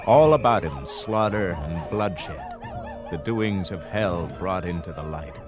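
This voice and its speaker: An actor playing a grave storyteller, narrating a radio drama in a poetic, dramatic style. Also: male voice, low-pitched, animated, slow pace, over music.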